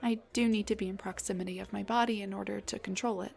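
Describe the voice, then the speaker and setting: A young woman voicing an embarrassed, self-deprecating headless dullahan on a date, in an intimate roleplay, breathy and hesitant, often slipping towards a whisper. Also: medium pitch, fairly even intonation, quick pace, a little mellow, close to an everyday voice. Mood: relaxed, chatty. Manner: hesitant, stammering, joking. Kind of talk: intimate audio roleplay acting.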